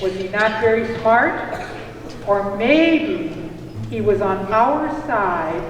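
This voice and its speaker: A woman storytelling theatrically in a puppet show, with a dramatic, deliberate and expressive delivery. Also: mid-range voice. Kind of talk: theatrical live storytelling, addressing a puppet companion. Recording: very echoey hall, distant mic, faint noise.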